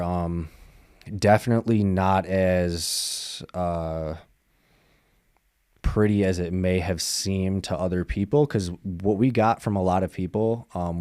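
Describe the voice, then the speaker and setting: A young man from the Midwest talks as a podcast guest, his voice deep and mellow. He rambles loosely, leaning on fillers like "like" and "bro". The sound is dry and close, with no room around it. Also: measured pace, no echo. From Madison, Wisconsin. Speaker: guest, MMA fighter. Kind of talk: casual interview chat.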